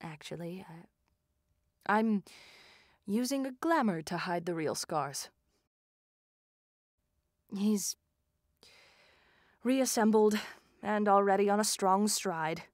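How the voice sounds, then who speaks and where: A dutiful female lieutenant, voiced by a woman in an audio drama, speaking quietly and slowly. Her mid-pitched voice is recorded dry and close. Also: no echo, close to an everyday voice. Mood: subdued. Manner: subdued, dutiful. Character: loyal, wounded female lieutenant.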